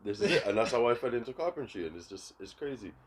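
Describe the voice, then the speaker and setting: A man's voice: medium pitch, animated, fast, noisy background. A man, a podcast guest telling stories in a laid-back way and acting out the dialogue he quotes. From England. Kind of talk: casual chat.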